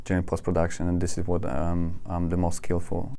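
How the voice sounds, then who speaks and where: Deep-voiced man with a Polish accent. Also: monotone, fairly quick, warm tone, a little hiss or hum. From Poland. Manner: careful non-native phrasing with small restarts. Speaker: graduate student interviewee. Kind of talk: interview answers.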